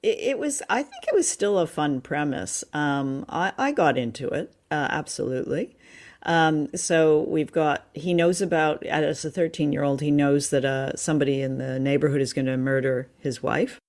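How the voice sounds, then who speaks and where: A woman's voice: low voice, normal speed, darkish tone. A woman co-hosting a podcast, casually discussing and recapping a story. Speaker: podcast co-host.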